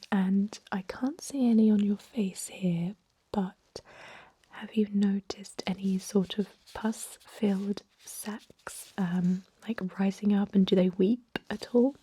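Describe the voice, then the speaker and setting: A woman voicing a kindly, chatty old vampire medic in a gentle, breathy whisper for an ASMR role-play. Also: mid-range voice, dry recording. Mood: attentive, soothing. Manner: gentle, rambling, reassuring bedside talk. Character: kindly, chatty, aged female vampire medic, pureblood purist.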